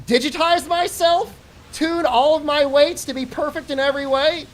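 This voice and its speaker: A man's voice: extremely high pitch, fairly even intonation, moderate pace, poor audio. A man in his forties chatting to his live-stream viewers while gaming, joking around and breaking into profane, mock-angry rants. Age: 40s. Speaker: game streamer.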